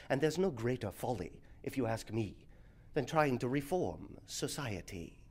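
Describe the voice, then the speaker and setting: Slow, urbane voice of reason. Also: male voice, mid-range voice. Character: reasonable, moderate gentleman, gently mocking, philosophically calm. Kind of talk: stage acting of a verse comedy.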